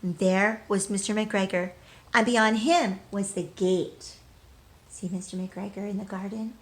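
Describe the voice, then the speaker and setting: A woman's voice: mid-range voice, steady tone, measured pace. A woman teacher reading a storybook aloud to children, speaking to them directly and expressively, with emphasis on key words. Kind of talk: children's storybook read-aloud with questions to young listeners.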